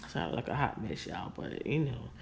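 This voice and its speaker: Tired woman vlogger, gravelly low fry, casual y'all chat, faint room noise. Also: animated, normal speed. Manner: chatty, tired, addresses viewers as y'all. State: weary. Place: living room, on couch, indoors. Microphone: handheld camera at close range.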